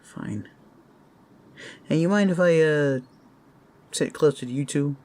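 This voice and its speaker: A man's voice: a little high, slow pace, darkish tone. A man playing an anxious, grieving raccoon father in a fantasy roleplay, speaking in a hesitant, emotional way. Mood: uneasy. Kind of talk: dramatic roleplay audio acting. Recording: faint storm noise behind. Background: thunder and storm ambience.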